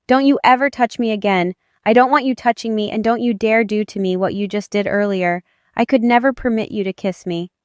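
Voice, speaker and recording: A woman's voice: medium pitch, a little fast, dry recording, studio quality, close to an everyday voice. A woman voicing an angry, grieving young heroine in a romance audio drama, defiant and panicked. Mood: furious, defiant.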